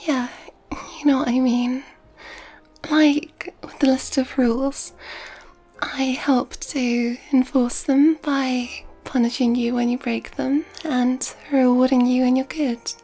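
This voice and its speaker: Woman voicing an affectionate girlfriend, gently dominant, high breathy whisper, slow delivery, ASMR roleplay. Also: little pitch movement, heavily acted. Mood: gentle, thoughtful.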